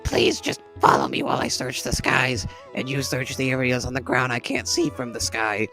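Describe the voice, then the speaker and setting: A man's voice: medium pitch, sing-song, normal speed, creaky, sharp tone, faint music behind, heavily acted. A man voicing a nervous, deferential flying henchman who pleads with his boss in an amateur cartoon dub. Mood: nervous, pleading. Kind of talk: amateur comic dub character acting.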